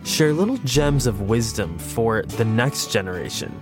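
A man's voice: medium pitch, expressive, measured pace. A journalist, heard as a man, reading a scripted podcast promo in an earnest, upbeat way. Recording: promo over guitar music. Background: guitar music.

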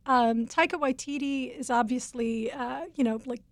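Female critic, fairly high voice, eager, many fillers, dry mic. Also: fairly even intonation, moderate pace, dry recording. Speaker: TV editor, panel guest. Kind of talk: casual panel chat.

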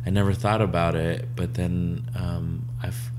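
A man's voice: deep voice, flat delivery, unhurried, noisy background. A man in his thirties, an artist, chatting casually in a rambling, self-interrupting, jokey way. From United States. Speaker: artist guest. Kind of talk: casual conversation.

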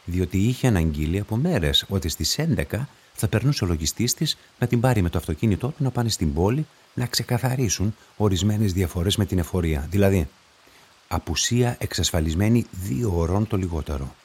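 An actor playing a methodical police investigator who reasons aloud deliberately in a radio drama. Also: male voice, sits low, animated, darkish tone, husky, full character voice. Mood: analytical.

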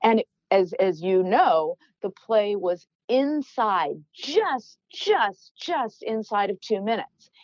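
A middle-aged woman, a former team executive, telling a story as a podcast guest in a loud, animated way that gets worked up. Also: mid-range voice, slow pace, few pauses, dry recording, dull audio. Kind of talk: storytelling in conversation.

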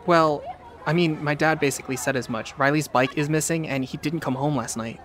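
A man playing a worried high school boy in an audio drama, passing on news. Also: fairly quick, a bit bright, close to an everyday voice. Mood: grave, matter-of-fact. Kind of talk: naturalistic audio drama acting. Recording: faint background chatter and noise.

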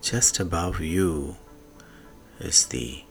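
A man guiding a sleep meditation in a hushed manner, leaving long pauses and letting phrase endings fade into breath. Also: sits low, gravelly. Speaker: meditation guide. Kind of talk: slow guided sleep meditation. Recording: soft music behind the voice. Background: soft music.

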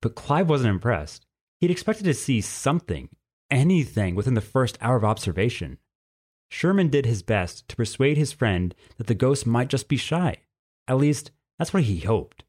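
A man reading a scripted story as narrator, in an expressive way. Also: medium pitch, normal speed.

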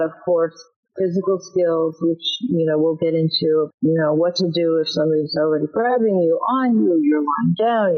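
Woman with a big booming voice explaining self-defense, kinda husky, slightly dull audio. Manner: emphatic, acts out example lines. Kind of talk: instructive interview talk.

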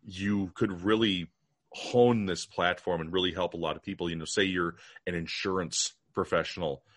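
American guy hosting a podcast, really low voice, chatty and enthusiastic, casual interview style. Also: steady tone, moderate pace, fairly bright tone. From United States. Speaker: podcast host.

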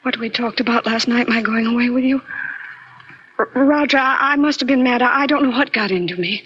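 A woman's voice: fairly high pitch, fairly quick, a little hiss or hum, close to an everyday voice. An actress playing a nervous, torn married woman in a 1940s radio drama, with a hesitant, pleading delivery. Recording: dull 1940s radio recording, loud music. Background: dramatic piano music.

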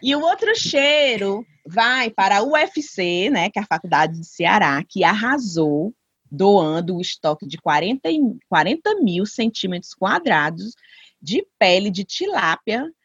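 A woman, co-hosting a podcast and telling family stories with lively impressions. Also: medium pitch, unhurried, slightly rough, no room sound, muffled recording. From Brazil. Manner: lively anecdote-telling, acts out family members. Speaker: podcast co-host. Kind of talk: casual chat.

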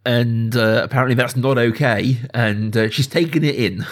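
A man around his thirties or forties hosting a casual film discussion, talking enthusiastically in a halting, discursive way. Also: mid-range voice. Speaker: podcast host.

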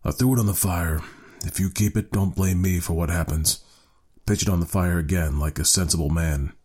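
A deep-voiced middle-aged sergeant major, acted out by a male reader, weary and haunted. He speaks slowly and solemnly, with a gruff edge, like a storybook soldier who warns of trouble. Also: darkish tone. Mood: gruff warning. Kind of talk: storybook character reading.

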